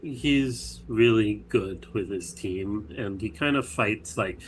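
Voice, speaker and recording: A low-voiced man talks as his character at a game table, plain and matter-of-fact. Faint room noise sits beneath his voice. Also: slow pace. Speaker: player. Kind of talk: in-character tabletop roleplay chat.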